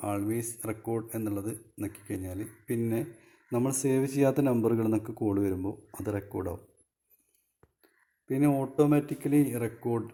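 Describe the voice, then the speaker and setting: A man with a Malayali accent and a lowish, very mellow, soft-edged voice. He hosts a casual phone tutorial, going through each step informally and circling back to repeat points. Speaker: video tutorial host.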